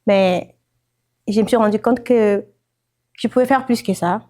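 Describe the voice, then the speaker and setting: A woman from Congo giving a calm, reflective personal testimony. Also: medium pitch, measured pace.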